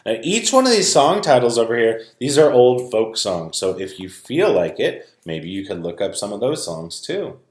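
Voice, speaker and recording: A man reading a rhyming children's story aloud in a dramatic, expressive way. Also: medium pitch, moderate pace, few pauses. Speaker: storybook reader. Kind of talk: animated children's read-aloud, rhyming narration. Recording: roomy, echoing sound.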